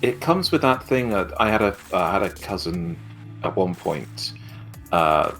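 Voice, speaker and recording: A very deep-voiced man from England chats on a podcast, dropping wry, sarcastic remarks. Light music sits faintly under his voice. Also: lively intonation, measured pace, fairly bright tone. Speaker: podcast co-host. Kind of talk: casual chat.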